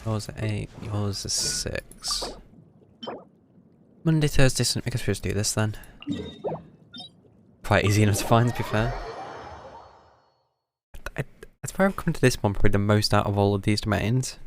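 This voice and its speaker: A man giving casual live gameplay commentary, musing to himself in a low-key way. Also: normal speed, long pauses, noisy background. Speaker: game streamer. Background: loud game music.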